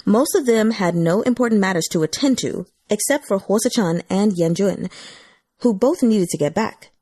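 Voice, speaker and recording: A woman's voice: mid-range voice, moderate pace, warm tone, no room sound, clean studio sound. A woman reading scripted fiction as an audiobook narrator.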